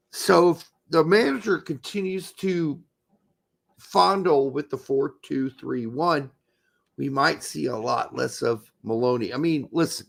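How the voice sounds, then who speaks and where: A man co-hosting a soccer podcast, emphatic and argumentative, hoarse from losing his voice. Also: a little high, a bit bright. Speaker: podcast co-host. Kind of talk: casual soccer talk.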